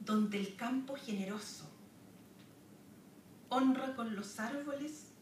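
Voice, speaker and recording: Chilean woman reading her poems real slow at a podium, far-off camera mic, echoey room, some hiss. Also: mid-range voice. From southern Chile. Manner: slow, measured recitation with pauses between lines. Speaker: poet. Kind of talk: poetry reading with spoken asides. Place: wood-paneled lecture room, standing at a podium. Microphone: camera far across the room. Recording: roomy, distant, noisy room recording.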